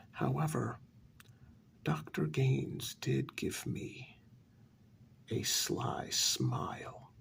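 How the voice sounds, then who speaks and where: Slow, creaky, half-whispering man. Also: mid-range voice, fairly bright tone, a little hiss or hum. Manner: deliberate, suspenseful, with many dramatic pauses. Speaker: storyteller. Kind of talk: soft-spoken ASMR story narration, first-person role-play.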